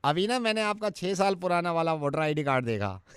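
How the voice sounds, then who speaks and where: Man playing a cheeky, high-voiced young pest. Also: big pitch swings, fairly quick, fairly bright tone, clean tone, no echo. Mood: teasing. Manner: needling, bossy, falsely familiar. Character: young man, cheeky acquaintance pestering and threatening a woman. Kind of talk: radio prank phone call.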